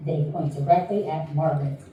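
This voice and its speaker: A woman's voice: sits low, steady tone, fairly quick, muffled recording. A woman narrator reading stage directions at a staged reading of a play. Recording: very echoey room, distant mic, faint noise.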